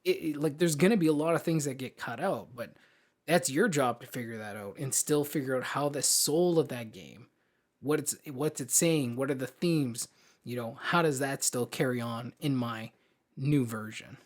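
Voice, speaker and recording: A man's voice: mid-range voice, normal speed. A man co-hosting a podcast, talking casually and emphatically about his opinions in informal language. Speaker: podcast co-host. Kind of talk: casual opinionated film discussion.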